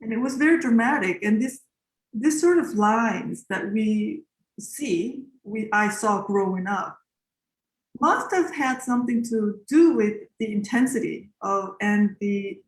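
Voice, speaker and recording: A woman's voice: fairly high pitch, measured pace. Korean-born woman composer in her forties, reflective interview answers, roomy echo. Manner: reflective, with many fillers and restarts.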